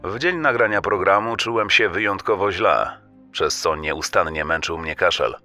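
Casting: male actor as defensive lecturer, very low, bright forceful tone, earnest, true crime podcast. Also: normal speed, faint music behind. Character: defensive Welsh lecturer excusing himself.

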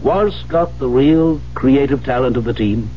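An actor playing a skeptical, persistent psychiatrist in a 1970s radio drama, questioning firmly and deliberately. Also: male voice, medium pitch, close to an everyday voice. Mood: challenging. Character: probing, skeptical male psychiatrist, firm and persistent. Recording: muffled, noisy 1970s radio broadcast.

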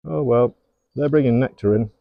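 A man's voice: medium pitch, moderate pace, vocal fry, no room sound, phone-quality sound. A middle-aged man working at his beehives outdoors in a garden apiary, giving easygoing running commentary and talking fondly to the bees. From England. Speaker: beekeeper. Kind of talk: hands-on commentary while working.

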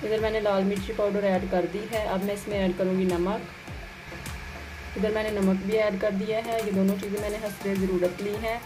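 A woman's voice: mid-range voice, little pitch movement, normal speed, low-quality recording. A woman gives a plain, instructional step-by-step recipe voice-over as a home cooking host. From Pakistan. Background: music behind the voice.

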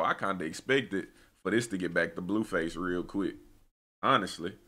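A man's voice: lowish voice, fairly bright tone. A young American man giving casual, slangy reaction commentary with opinionated, conversational delivery. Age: young adult. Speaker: reaction video host.

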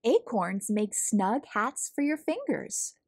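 Lady reading a kids picture book, very up and down expressive, slightly husky breathy voice. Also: on the high side, takes it slowly. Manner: animated, clearly paced storytelling for young children. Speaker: children's read-aloud host.

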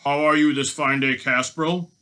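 A bright, forceful storybook robber, acted out by a man reading aloud. He jeers and gloats, putting on mock sweetness as he bullies his captive. Every phrase is pushed out with boastful emphasis. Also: medium pitch, moderate pace, voice pushed up, lightly acted. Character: jeering boastful male robber villain, gloating and bullying. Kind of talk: children's storybook voice acting.

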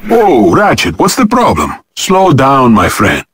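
An actor voicing a suave, cocky robot duelist in a video game, with haughty, theatrical gallantry. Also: male voice, medium pitch, huge pitch swings, unhurried, fairly bright tone, breathy edge, no echo, clean studio sound, full character voice. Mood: cool, ready.

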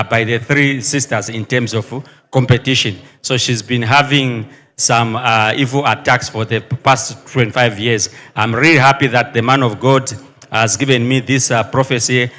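A man's voice: medium pitch, fairly bright tone, in a large hall. Earnest man from Zambia testifying. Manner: halting, earnest testimony with fillers. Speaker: congregant giving testimony. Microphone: handheld mic.

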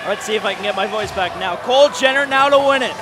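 A man calling play-by-play for a hockey shootout, rising to shouted goal calls while his voice is going. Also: high voice, fast. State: losing his voice. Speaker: play-by-play commentator. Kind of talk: excited sports commentary. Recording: crowd and arena noise behind.

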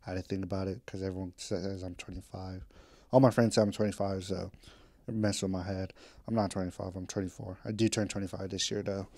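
A man's voice: sits low, flat delivery, brisk, darkish tone, dry recording, studio quality. A man in his twenties casually catching up with his audience, rambling and candid, with slang and the odd swear. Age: 20s. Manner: rambling, candid, drifts between topics. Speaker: vlogger. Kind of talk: casual catch-up podcast talk. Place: home.

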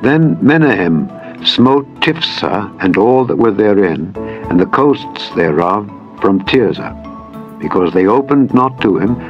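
A low-voiced man delivers a scripted, dramatized scripture narration. His phrasing is solemn, measured and deliberate. The audio is somewhat dull, with music playing behind him. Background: background music.

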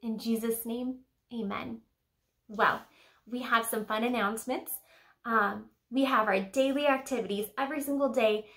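A woman's voice: a little high, fairly even intonation, normal speed, fairly bright tone. A young woman hosting a children's church lesson to camera, chatting simply and putting questions to the children. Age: young adult. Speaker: children's church teacher and host. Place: small decorated room indoors.

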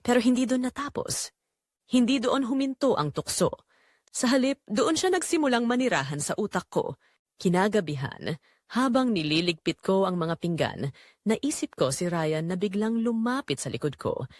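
A woman performs a married mother confessing in a scripted audio drama, full of longing and guilt. Her mid-pitched voice rises and dips in a lively way, with a very dry, close sound. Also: normal speed, dry recording, natural voice. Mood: tense, yearning. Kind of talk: first-person scripted audio drama narration.

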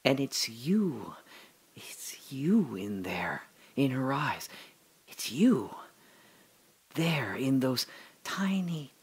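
An actor playing a dying older father, telling his memories in a halting, breathy dramatic monologue that drops to whispers. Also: medium pitch, a bit bright, poor audio. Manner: halting, breathy, emotional, many pauses and restarts. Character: dying old father recalling his daughter's birth.

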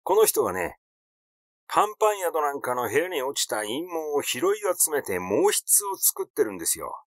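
A man's voice: medium pitch, very animated, moderate pace, no echo, studio quality, lightly acted. A man voicing a cheeky, meddling young man in a story reading, needling and argumentative. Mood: meddling, glib.

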